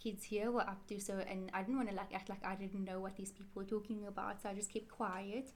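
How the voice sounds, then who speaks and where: A woman's voice: medium pitch, fairly even intonation, quick pace, a little hiss or hum. A woman, answering interview questions in a chatty, rambling way and correcting herself often. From South Africa. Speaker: interview guest, Quran teacher.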